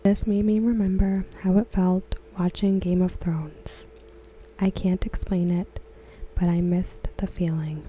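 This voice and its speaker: A woman reading aloud softly for ASMR, gentle and hushed, with a breathy voice that often slips into a whisper. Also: fairly low pitch, level tone, unhurried, a little hiss or hum, poor audio. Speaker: ASMR host. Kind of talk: ASMR, soft-spoken reading of discussion comments.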